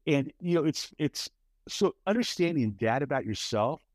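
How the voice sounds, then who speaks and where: A man co-hosting a casual podcast chat, talking in an animated, expressive way with a big voice, often checking in with "right?". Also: on the high side, normal speed, no room sound, clean studio sound. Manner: many restarts and repeated "right?". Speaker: podcast co-host.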